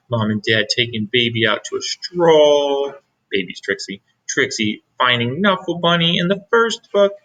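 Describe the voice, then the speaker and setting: A man reading a picture book aloud as a teacher, pausing for asides to young listeners. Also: mid-range voice, sharp tone, low-quality recording. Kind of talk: read-aloud storytelling.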